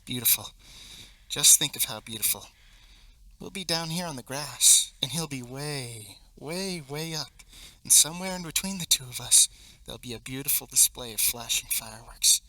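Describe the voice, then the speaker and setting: A teenage male actor playing an intense, needling man in a stage play, swinging theatrically between loud and hushed delivery, with obsessive fervor. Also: medium pitch, expressive, moderate pace, no echo, low-quality recording. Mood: wistful, dreamy. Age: teens. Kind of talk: stage play monologue, projected theatrical acting. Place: high school theatre stage. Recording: live stage recording with faint noise.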